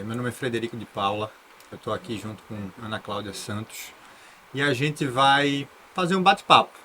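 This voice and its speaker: A man's voice: medium pitch, fairly bright tone, slight background noise. A man co-hosting a podcast, eagerly asking questions and introducing the show. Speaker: podcast co-host. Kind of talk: podcast interview.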